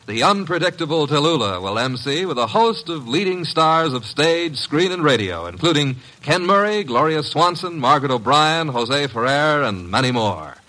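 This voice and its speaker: A man's voice: fairly high pitch, expressive, moderate pace, few pauses, bright, forceful tone, rough recording. A man announcing in dramatic, scripted 1950s radio style. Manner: dramatic, declamatory.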